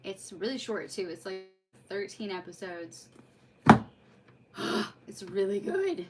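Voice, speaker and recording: A woman's voice: mid-range voice, expressive, takes it slowly, loud music behind the voice. A woman chatting casually on a livestream in an effusive, rambling way, as host of a read-aloud. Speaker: streamer hosting a live read-aloud.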